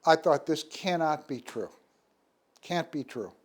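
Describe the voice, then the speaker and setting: A man's voice: fairly high pitch, animated, measured pace. A man with an American accent, a criminology professor, gives emphatic expert answers in an interview.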